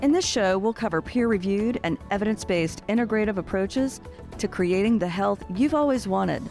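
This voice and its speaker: A woman's voice: mid-range voice, lively intonation, normal speed, a little mellow. A woman co-hosting a podcast, reading a scripted show introduction in an announcer-like way. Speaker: podcast co-host. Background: faint music.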